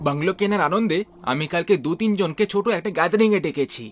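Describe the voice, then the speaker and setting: Young husband for audio drama, played by a man: affectionate, teasing, higher-than-average pitch. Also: quick pace, a little hiss or hum, lightly acted. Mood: pleased. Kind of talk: dramatized horror story acting.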